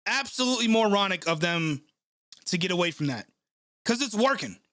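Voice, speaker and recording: A young man reacts live to a game for his stream, his nose stuffed up from a cold. His voice sits fairly high, and he vents with sarcasm and frequent swearing. The sound is dry and close. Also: lively intonation, moderate pace, a bit bright, no echo. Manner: frustrated, sarcastic, swears often. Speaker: sports channel host reacting live. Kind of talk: live play-by-play reaction stream, reading chat.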